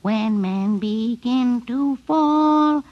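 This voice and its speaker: A woman's voice: a little high, drawn-out words. A woman voicing a tiny, uncanny living boy doll in a 1950s radio drama, with a mechanical sing-song delivery. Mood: sing-song, eerie. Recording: dull vintage radio recording.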